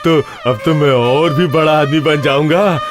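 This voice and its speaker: A man playing a greedy, scheming brother in a folktale cartoon, with an exaggerated, gloating, drawn-out delivery. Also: a little high, over music, lightly acted. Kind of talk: cartoon voice acting.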